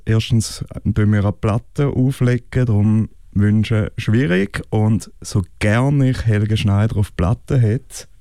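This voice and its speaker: A man's voice: lowish voice, gravelly, mellow, no echo, studio quality. A man co-hosting a radio music show, chatting casually and loosely between records.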